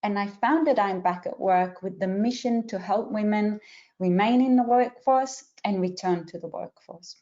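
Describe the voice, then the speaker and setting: A woman's voice: mid-range voice, dark tone, muffled recording. Middle-aged woman hosting a webinar, mixed accent. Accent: mixed Israeli, Australian and American. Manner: clear and instructive, with some filler pauses. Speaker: webinar host and career coach. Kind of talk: webinar introduction.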